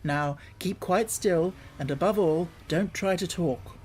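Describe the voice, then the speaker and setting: A reader voicing a kindly, hearty gentleman motorist in a storybook, talking in a genial, encouraging way. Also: medium pitch, a little slow, a little hiss or hum, natural voice. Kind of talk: storybook reading.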